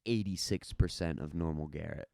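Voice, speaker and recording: A man's voice: very deep voice, moderate pace, gravelly, darkish tone, no room sound. A young man co-hosting a podcast in casual chat, with dry, teasing humor. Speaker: podcast co-host.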